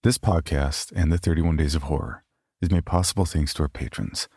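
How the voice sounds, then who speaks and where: Deep husky man narrating horror. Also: mellow, no echo, studio quality. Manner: deliberate, ominous delivery. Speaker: podcast host and narrator. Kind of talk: scripted horror story narration.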